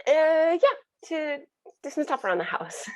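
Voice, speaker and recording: A woman's voice: sits high, big pitch swings, unhurried. A woman playing a ditzy, panicky twenty-two-year-old girlfriend in a screenplay table read over a video call. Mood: sheepish, flustered. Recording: dull, lo-fi video call audio.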